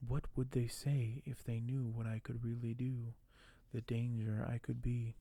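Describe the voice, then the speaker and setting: A man speaking softly and gently for an ASMR video, sometimes close to a whisper, with a halting delivery as host. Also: mid-range voice, flat delivery, gravelly, dry recording. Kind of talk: soft-spoken ASMR, partly whispered; reading aloud and commenting on collectibles.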